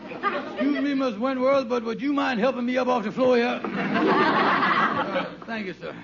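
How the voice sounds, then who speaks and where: A bumbling, folksy schemer in an old radio comedy. His voice sits very high, with stammers and mangled grammar. The recording is dull and clearly noisy. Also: unhurried, over a noisy crowd, full character voice. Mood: flustered. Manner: stammering, folksy ungrammatical talk. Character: bumbling, fast-talking male schemer playing dumb. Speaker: sitcom actor. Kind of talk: 1940s-50s radio comedy acting.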